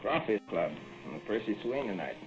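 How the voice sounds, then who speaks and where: An actor playing a laconic plumber's helper, answering in short, drawling lines in 1940s radio drama style. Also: male voice, on the low side, big pitch swings, fairly quick. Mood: lazy deadpan. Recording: muffled old radio broadcast with faint music.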